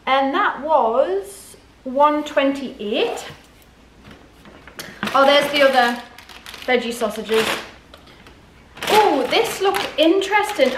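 A woman in her forties chatting casually to camera as a vlogger, often questioning herself aloud. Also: high voice. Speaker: vlogger showing a grocery haul. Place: indoors in a home dining room. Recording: echoey room, clear background noise.

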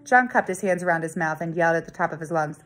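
A woman in her thirties, a teacher, reading a children's story aloud in a dramatic, expressive storytelling manner with deliberate emphasis. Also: on the low side. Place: living room at home. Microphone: phone or tablet at arm's length.

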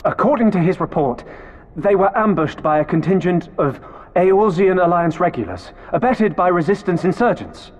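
A stiff, deferential imperial officer. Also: male voice, sits high, expressive, moderate pace, in a large hall. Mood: formal, deferential. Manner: stiff, formal, subservient. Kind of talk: video game cutscene voice acting.

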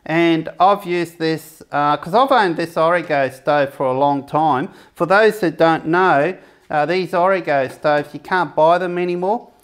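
A man's voice: on the high side, measured pace, smooth. A man giving a casual, rambling cooking demonstration for his camping review channel, often restarting his sentences. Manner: chatty, rambling, self-correcting.

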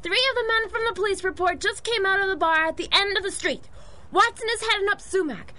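Eager, very high-pitched street boy. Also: brisk. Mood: brisk reporting. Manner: excitable, slangy. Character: eager young street-kid boy lookout. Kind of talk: 1930s-style radio adventure acting.

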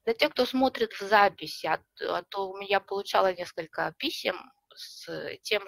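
Gravelly-voiced woman presenting a webinar. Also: medium pitch, fairly bright tone, dry recording. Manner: friendly, explanatory, with frequent fillers. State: recovering from a cough. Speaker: webinar presenter, librarian and teacher. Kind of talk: online webinar walkthrough. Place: home room with bookshelves. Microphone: webcam video call.

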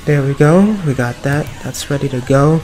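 A man with a slightly raspy voice from a cold, giving upbeat, rambling commentary over mobile card game play. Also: mid-range voice, moderate pace, a little mellow, rough recording. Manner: upbeat, filler-heavy running commentary. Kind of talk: casual gameplay commentary. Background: background music.

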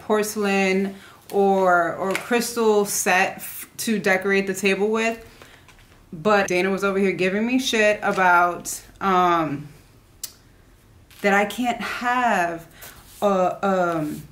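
A woman's voice: medium pitch, fairly bright tone. A young adult woman chatting casually to camera as a vlogger, informal and rambling, often trailing off mid-thought. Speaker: vlogger showing a shopping haul. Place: living room at home, indoors.

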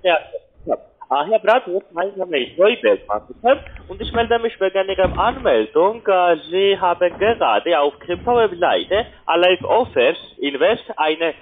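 A man with a foreign accent in German giving a hesitant, polite sales pitch as a call centre agent, often ending on little questions. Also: very animated, a little slow, a little hiss or hum. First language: not German. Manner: hesitant, polite, repeatedly tags sentences with questions. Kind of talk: halting phone sales pitch. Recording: muffled, narrow telephone line.

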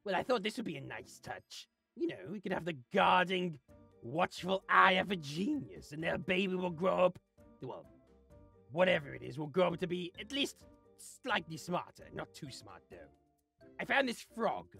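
Gravelly, haughty cartoon genius creature. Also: mid-range voice, sing-song, normal speed, sharp tone, faint music behind, full character voice. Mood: smug, dismissive. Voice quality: raspy, gravelly. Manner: theatrical, haughty, melodramatic rants. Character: arrogant, exasperated, cackling self-styled genius creature. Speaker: voiced character in a gaming series. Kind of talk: voice-acted gameplay comedy.

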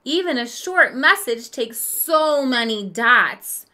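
A woman's voice: a little high, lively intonation, takes it slowly, sharp tone, exaggerated voice. A woman reading the part of an earnest, determined teenage schoolboy in a storybook, speaking emphatically. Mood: frustrated.

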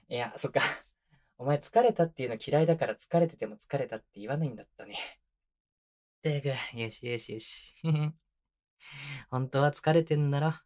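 A man playing a tender young boyfriend who teases and mock-scolds in casual, rough-edged speech, in an intimate binaural ASMR audio drama. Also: darkish tone, natural voice. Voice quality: medium-low voice. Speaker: voice actor. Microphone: close binaural microphone.

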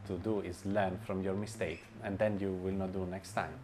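A man's voice: low voice, little pitch movement, moderate pace, a little mellow, a little hiss or hum. A man in his forties, a chef and restaurant owner, answering interview questions in a hesitant way, with many fillers, restarts and grammar. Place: bright indoor room with light wall. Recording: music bed under voice. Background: background music.

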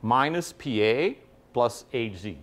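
Animated middle-aged man lecturing. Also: medium pitch, takes it slowly. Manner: animated, peppered with "right?", quizzes the audience. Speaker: guest lecturer. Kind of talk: university lecture. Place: large lecture hall with audience.

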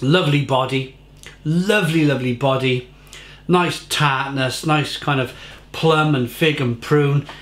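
A man's voice: mid-range voice, measured pace, fairly bright tone, roomy sound. A man, casually reviewing a beer at home and often repeating his words. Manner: chatty, repeats words, gestures with hands. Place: home kitchen, indoors. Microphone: fixed camera at desk.

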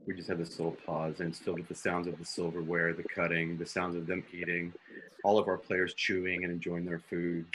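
A man's voice: sits low, completely flat delivery, a little fast. A man narrating stage directions for a radio drama in a descriptive storytelling style. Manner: descriptive, sounding out effects. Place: dim home room, video call. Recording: faint background noise on video call.

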